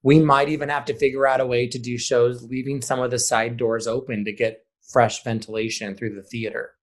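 A man's voice: medium pitch, level tone, fairly quick. Male theater director in casual interview talk. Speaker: high school theater director being interviewed.

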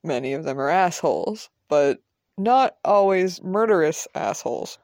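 A woman playing a measured, scholarly male professor in an audio drama, precise and deadpan. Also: low voice, no room sound, studio quality. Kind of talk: naturalistic audio drama dialogue.

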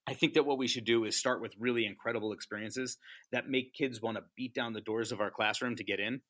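A man talking about teaching as a podcast guest, animated and passionate, often asking "right?". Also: mid-range voice, fast, no echo, studio quality. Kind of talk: casual interview chat.

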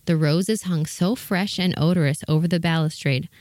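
A woman reading a scripted children's story as narrator, in a measured, expressive manner. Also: low-pitched, no echo, clean studio sound.

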